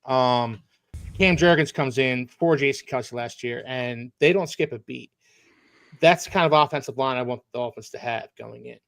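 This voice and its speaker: A man's voice: medium pitch, normal speed, gravelly, fairly bright tone, no room sound. A man from Philadelphia hosting a casual sports chat, talking enthusiastically and at length. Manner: enthusiastic, expansive.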